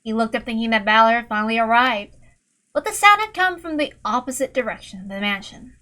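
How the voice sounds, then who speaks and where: A woman's voice: fairly high pitch, brisk, pushed and bright. A woman reading scripted fiction aloud as narrator, in a dramatic storytelling style. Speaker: amateur reader and narrator of a visual novel.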